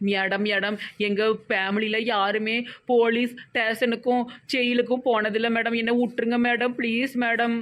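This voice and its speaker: A woman's voice: a little high, flat delivery, normal speed, fairly bright tone, lightly acted. A woman with a Tirunelveli Tamil accent voicing a boastful, dramatic young newly married village woman in a comedy cartoon. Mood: wailing, indignant. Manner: whiny, pleading, melodramatic.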